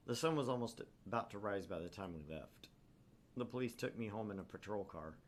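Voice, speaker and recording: A man's voice: fairly low pitch, lively intonation, brisk, slight background noise. A man hosting a gaming channel, narrating a visual novel aloud with theatrical, irreverent casual asides.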